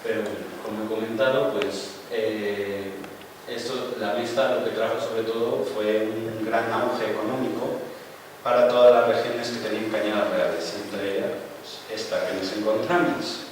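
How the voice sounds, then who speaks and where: Low-voiced male lecturer in an echoey hall. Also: fairly even intonation, measured pace. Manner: asks rhetorical questions and answers them himself; loose and explanatory, with many "pues" and "eh" fillers. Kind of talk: informal lecture with slides. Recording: echoey hall, distant mic, background noise.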